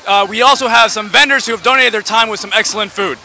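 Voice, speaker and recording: Fast-talking festival organizer, gravelly high voice. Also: male voice, sharp tone, rough recording. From Palestine. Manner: quick, promotional. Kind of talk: interview answers. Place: outdoor festival.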